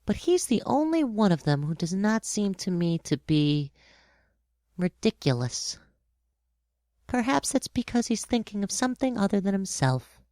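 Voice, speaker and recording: A man voicing a gentle, thoughtful young boy with a light, soft voice and an earnest, wistful manner in a storybook reading. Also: sits high, big pitch swings, normal speed, no echo, clean studio sound, voice pushed up.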